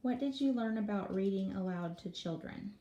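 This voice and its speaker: A woman with a soft-toned, mellow voice puts prepared questions to someone as an interviewer. She speaks at a medium pitch. The room rings with echo and has faint background noise. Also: moderate pace, lo-fi sound.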